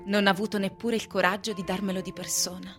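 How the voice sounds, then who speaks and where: A woman's voice: medium pitch, level tone, fast talker, fairly bright tone, natural voice. A woman acting in a radio drama as a flirtatious, fickle wife, coy and confiding in her delivery. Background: music.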